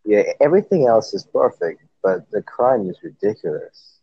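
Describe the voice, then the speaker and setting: Caribbean man, husky and lively, relaxed conversational storyteller, dry but slightly dull lo-fi audio. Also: on the low side, normal speed, no echo. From Trinidad. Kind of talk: casual chat.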